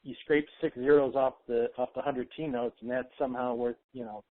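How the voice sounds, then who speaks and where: A man's voice: medium pitch, steady tone, brisk. Man on a tinny phone call. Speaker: conference call host. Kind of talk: casual discussion on a conference call. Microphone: telephone.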